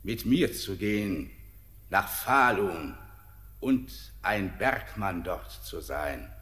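An actor playing an eerie old spirit who guides a sailor into the mine, speaking slowly and commandingly in a classic radio play. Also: male voice, lowish voice, lively intonation, fairly bright tone, in a large hall.

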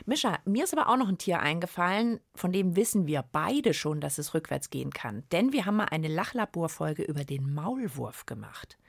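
A woman's voice: on the low side, big pitch swings, fairly quick, creaky, hardly any pauses, no room sound, clean studio sound. A woman hosting a children's science podcast in playful chat, explaining things to young listeners. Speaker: podcast host.

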